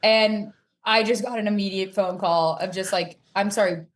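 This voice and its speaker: A woman's voice: mid-range voice, steady tone, normal speed. A woman talking casually as an interview guest, telling stories and acting out bits of dialogue. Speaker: podcast guest, cornhole player.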